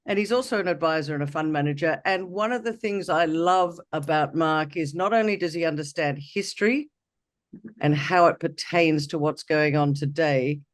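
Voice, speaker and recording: A man's voice: on the high side, moderate pace. A male podcast host giving chatty, upbeat introductions and asking questions in an interview.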